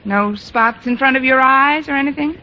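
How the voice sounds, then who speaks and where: A woman's voice: high-pitched, moderate pace, close to an everyday voice. A woman playing a wry, scheming schoolteacher with dry, sardonic wisecracks in a 1940s radio sitcom. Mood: coaxing, wry. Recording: muffled, noisy old radio recording.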